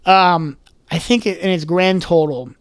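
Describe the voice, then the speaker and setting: A young man with a high, smooth, clear voice tells stories with comic energy. He keeps breaking into short quoted voices as he chats. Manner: animated storyteller who slips into quick quoted voices. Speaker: podcast guest, comedian. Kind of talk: casual chat.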